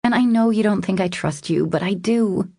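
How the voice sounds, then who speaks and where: A woman narrator voicing a guarded, vulnerable woman in an audiobook romance, speaking softly and hesitantly as she confides. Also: mid-range voice, moderate pace, vocal fry, breathy edge, no room sound, clean studio sound, voice pushed up. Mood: shy confession. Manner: hesitant, confessional, soft. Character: vulnerable, guarded young woman opening up to her lover.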